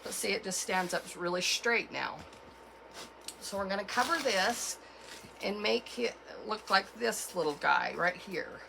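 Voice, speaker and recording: A woman with a cold and a froggy, hoarse voice, chatting casually as she walks through a craft tutorial at home. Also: medium pitch, lively intonation, noisy background. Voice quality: froggy, gravelly. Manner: chatty, unhurried, with fillers and self-corrections. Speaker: craft channel host.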